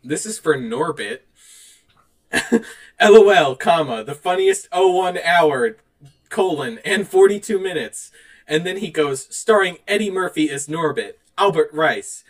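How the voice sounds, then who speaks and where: A man with a fairly high voice co-hosts a podcast in a loose, conversational style. He is animated and laughs readily as he talks. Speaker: podcast co-host. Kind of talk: casual chat, reading out online reviews.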